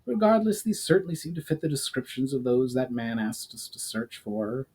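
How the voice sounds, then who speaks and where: A man's voice: mid-range voice, sing-song, normal speed, a little mellow, breathy edge. A man voicing a stern, commanding young female soldier captain in a fantasy storybook reading. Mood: appraising.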